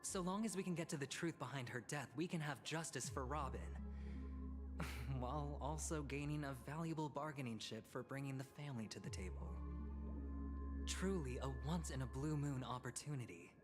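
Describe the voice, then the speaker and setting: An actor playing a smooth, scheming young corporate negotiator and gambler in a video game, with sly, teasing pauses. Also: male voice, mid-range voice, music in the background, close to an everyday voice. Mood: calculating.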